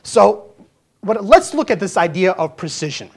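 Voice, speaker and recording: A man's voice: sits high, big pitch swings, moderate pace, husky. A fifty-year-old pastor preaches in a plain, conversational way. He keeps asking his listeners rhetorical questions and often restarts his sentences as he talks his point through. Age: 50s. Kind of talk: sermon.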